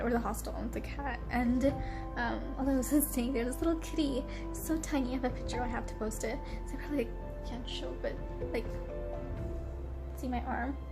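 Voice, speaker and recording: A young woman with a stuffy, congested nasal voice, chatting casually in a travel vlog, rambling and giggly with lots of fillers. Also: on the high side. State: congested from allergies. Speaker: travel vlogger. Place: hotel room.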